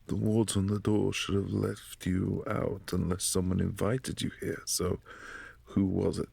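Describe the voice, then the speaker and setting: A man playing a possessive, imperious vampire prince in a boyfriend ASMR roleplay, speaking in a formal, commanding, softly menacing way. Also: deep voice, flat delivery, unhurried, vocal fry, slightly rough, no echo, full character voice. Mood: cool, imperious.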